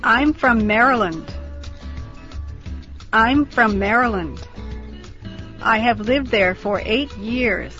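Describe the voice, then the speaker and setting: A woman reading model sentences slowly and deliberately for a language drill. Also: fairly high pitch, sharp tone. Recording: dull lo-fi recording with music.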